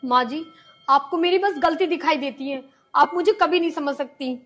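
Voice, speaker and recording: A woman playing a patient young daughter-in-law in a family drama skit, pleading and soft-spoken. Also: sits high, normal speed, soft background music.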